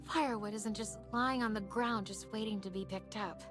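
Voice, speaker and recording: A young woman playing a gentle, dreamy exiled princess in a visual novel, with proper, old-fashioned diction. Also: medium pitch, steady tone, moderate pace, music in the background, natural voice. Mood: fretting. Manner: genteel, old-fashioned diction, talking to herself.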